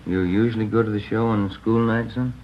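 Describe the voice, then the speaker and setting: An actor playing a steady, plain-spoken male police detective who asks brisk, practical questions in an old radio drama. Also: lowish voice, lightly acted. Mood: matter-of-fact. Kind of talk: 1950s radio drama acting. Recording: dull lo-fi old radio broadcast with hiss.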